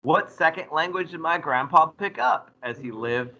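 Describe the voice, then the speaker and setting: A man teaching a history class, lecturing in a lively way and putting questions to his students. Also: on the high side, moderate pace, lo-fi sound. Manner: animated, asks the class questions.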